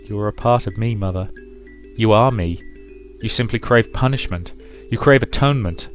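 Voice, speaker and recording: A man reading the part of a gentle, resolute grown daughter in a visual novel, soft, earnest and measured. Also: on the low side, close to an everyday voice. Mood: calm, firm. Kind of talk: dramatic visual novel read-aloud. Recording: music behind the voice.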